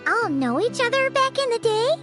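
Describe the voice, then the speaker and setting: A woman voicing a small, childlike, excitable and curious fairy companion in a video game, with a squeaky voice. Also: moderate pace. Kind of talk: cartoonish video game voice acting. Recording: clear music behind the voice.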